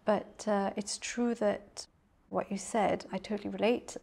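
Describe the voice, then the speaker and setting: Chatty middle-aged woman author with a gravelly edge. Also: medium pitch, steady tone, unhurried. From Mauritius. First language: English. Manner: warm, chatty, laughs often, many restarts. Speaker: author guest. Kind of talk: casual interview conversation.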